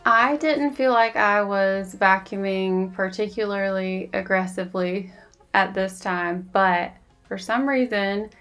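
A woman's voice: mid-range voice, steady tone, slow, a bit bright, faint music behind. Chatty woman vlogger. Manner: chatty, confiding, says y'all. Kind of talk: casual voice-over chat.

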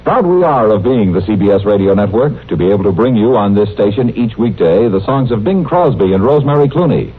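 A man's voice: on the low side, animated, brisk, few pauses, breathy edge. An American man announcing on old network radio, reading scripted copy in a polished, promotional manner. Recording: dull old radio broadcast recording.

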